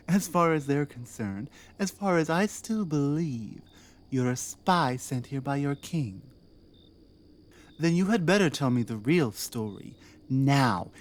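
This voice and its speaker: A woman as a low-voiced, imperious orc queen. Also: a little mellow, full character voice. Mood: stern, impatient. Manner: imperious, sardonic, dropping into murmurs and whispers. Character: commanding, distrustful, teasing orc queen holding a prisoner. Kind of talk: ASMR roleplay, addressing the listener.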